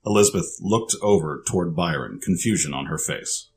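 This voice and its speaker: Very deep male story narrator. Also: normal speed, gravelly.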